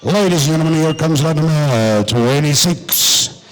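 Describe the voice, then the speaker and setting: A South African man working as a livestock auctioneer, describing cattle lots and then rattling off bids in a rapid chant. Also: fairly high pitch, big-room sound, faint music behind, rough recording. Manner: rattles off bid numbers in a fast chant, switching between English and Afrikaans.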